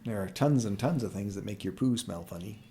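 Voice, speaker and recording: A woman's voice: rumbling low, a little fast, warm tone, slight background noise. A woman, chatting casually with her partner as a podcast co-host. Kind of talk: casual chat between a couple. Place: at home in self-isolation.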